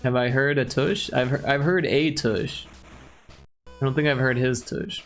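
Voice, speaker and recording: A man's voice: medium pitch, fairly even intonation, unhurried, fairly bright tone, soft background music. A man from Chicago with a Chicago accent, hosting a livestream and chatting casually with viewers in a joking way. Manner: joking, chuckling, chatty with chat. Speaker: livestream host.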